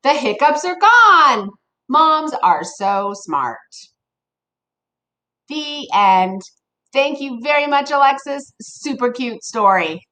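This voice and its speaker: A woman reading a children's story aloud like a teacher, addressing young listeners in an expressive way. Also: high-pitched, slow pace.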